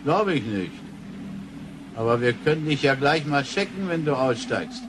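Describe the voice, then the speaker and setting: An actor playing a gruff, irritable, old-fashioned grandfather in a sci-fi radio drama, blunt and grumbling. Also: medium pitch, very animated, normal speed, noisy background, natural voice. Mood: puzzled, irritated.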